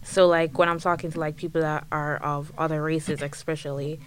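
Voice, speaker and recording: A woman's voice: deep voice, fairly quick, a little hiss or hum. A young woman from Jamaica with a thick Jamaican accent, chatting animatedly on a student podcast. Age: young adult. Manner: animated, frequent "like" fillers. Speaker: podcast guest. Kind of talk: casual chat.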